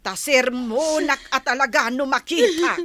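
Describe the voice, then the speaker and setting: A woman's voice: high-pitched, measured pace, bright, forceful tone, dry recording. An actress playing a protective, scolding older motherly confidante in a Filipino radio drama. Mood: disapproving.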